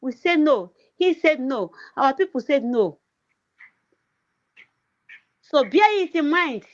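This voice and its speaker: A woman's voice: high-pitched, animated, takes it slowly, muffled recording. A woman with a Nigerian accent, English not her first language, ranting passionately in a political livestream, repeating herself with many "eh" fillers. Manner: repetitive, emphatic, heavy filler "eh". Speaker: activist livestreamer. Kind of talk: impassioned political rant.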